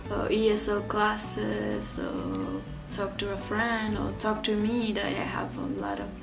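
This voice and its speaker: A woman in her thirties from Ecuador telling her own story in an interview, speaking haltingly with many fillers and restarts. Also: mid-range voice, very animated, gravelly, far from the mic, over music. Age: 30s. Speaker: interview guest.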